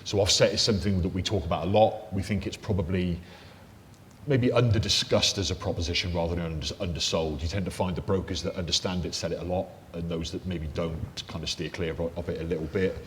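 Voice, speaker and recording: A man with a very low, deep voice speaks on a panel discussion, loose and conversational with plenty of fillers. The sound carries a long hall reverb with a faint hiss beneath it. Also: fairly even intonation, brisk. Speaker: mortgage lender panelist. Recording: echoey hall, faint noise.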